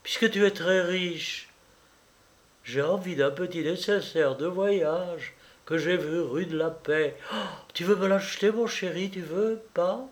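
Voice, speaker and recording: A man reading aloud and voicing a capricious, tearful young woman who coaxes her lover for money, in a slow storybook reading. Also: high-pitched, pitched above the performer's own voice. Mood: wheedling. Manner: pleading, wheedling, sobbing.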